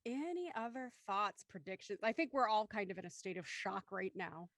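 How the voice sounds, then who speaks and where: A woman's voice: medium pitch, sing-song, normal speed, a bit bright, no echo, studio quality. A young woman leading a casual group discussion in a chatty, playfully argumentative way. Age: young adult. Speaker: host and cast member leading the discussion.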